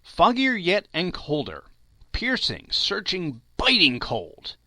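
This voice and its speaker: A man narrating a classic story as an audiobook reader, theatrical and expressive, with deliberate phrasing. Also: high-pitched, dry recording.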